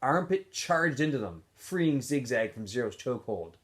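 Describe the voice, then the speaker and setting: Expressive male read-aloud narrator, very varied pitch. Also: moderate pace. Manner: expressive, acts out dialogue. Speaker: teacher reading a novel aloud.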